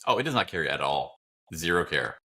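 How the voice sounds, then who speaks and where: A man's voice: extremely low pitch, big pitch swings, a little fast, sharp tone. A man co-hosting a podcast, chatting casually and philosophically in a blunt, rambling, opinionated way. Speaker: podcast co-host.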